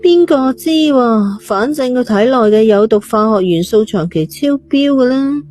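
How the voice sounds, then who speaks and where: A woman's voice: fairly high pitch, expressive, moderate pace, a little mellow, faint music behind, pitched above the performer's own voice, natural voice. A woman voicing a defiant, detached teenage girl in a storybook reading, blunt and offhand. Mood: matter-of-fact.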